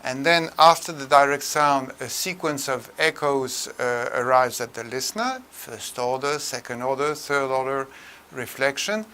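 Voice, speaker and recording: An older man delivers a technical tutorial. His voice is bright and crisp-edged at a middling pitch. He speaks in a measured, halting way, often pausing between phrases. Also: slight background noise. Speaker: lecturer. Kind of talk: tutorial lecture on room acoustics.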